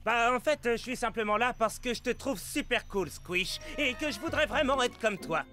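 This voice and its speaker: An actor playing an eager, flattering young male virus in a French cartoon dub, with an admiring manner. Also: mid-range voice, moderate pace, close to an everyday voice. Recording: music behind the voice.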